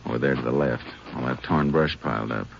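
An actor playing a steady, laconic Texas Ranger investigator in a 1950s radio drama. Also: male voice, full character voice. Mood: intent, investigating. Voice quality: very deep, gravelly. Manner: laconic, folksy, measured. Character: steady male Texas Ranger investigator, gentle with children, grimly weary. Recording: dull, noisy old radio recording.

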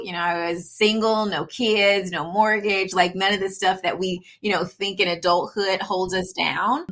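Chatty woman with a bright voice. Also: mid-range voice. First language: English. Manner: chatty, peppers speech with "you know". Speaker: podcast guest, supply chain consultant. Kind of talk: casual conversational interview.

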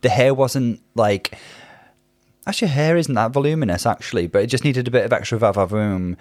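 A man's voice: medium pitch, fairly quick, a little mellow, no room sound, clean studio sound. A man co-hosting a casual review podcast, chatty and opinionated, with plenty of fillers. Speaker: podcast co-host.

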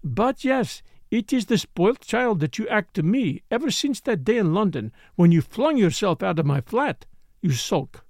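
A man's voice: high-pitched, sing-song, unhurried, dry recording, studio quality, exaggerated voice. A man reading aloud voices an insolent, seductive French woman with a put-on French accent, teasing and coaxing. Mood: coaxing, mocking.

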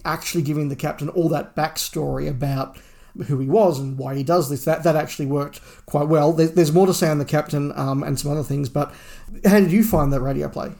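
A man's voice: a little high, fairly even intonation, a little fast, creaky, a little mellow. Man chatting through a book review. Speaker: podcast co-host. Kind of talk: casual book review discussion.

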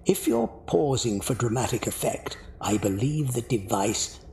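Man as gravelly, slow-spoken fussy butler. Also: medium pitch, sing-song, a little mellow. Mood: dry, disdainful. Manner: formal, dryly sardonic. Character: dry, fussy, long-suffering older gentleman butler. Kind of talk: audio drama acting. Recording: roomy echo, faint background noise.

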